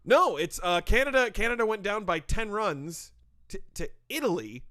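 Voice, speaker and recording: A man with a high voice that swings widely in pitch, hosting a casual comedy podcast. He runs through sports updates in a chatty way, with jokes and a laugh. He talks into a studio mic. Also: expressive, moderate pace, a bit bright.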